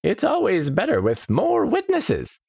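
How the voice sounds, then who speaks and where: A man voicing a manic, eerie little trickster who chirps in a high falsetto. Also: huge pitch swings, measured pace, vocal fry, a little mellow, breathy edge, no room sound, clean studio sound, full character voice. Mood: gleeful.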